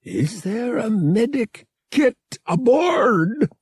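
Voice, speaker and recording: A man performs a devoted female alien hunter from an audiobook space adventure. The voice is raised in pitch, breathy and slow, with soft, emotional tenderness toward her mate. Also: big pitch swings, dry recording, clean studio sound, exaggerated voice. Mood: worried.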